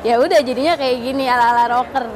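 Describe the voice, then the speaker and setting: A woman's voice: high voice, fast, crowd noise. A woman of twenty-three, chatting informally about her own life in an interview. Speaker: interviewee, social media strategist. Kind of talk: casual personal testimony.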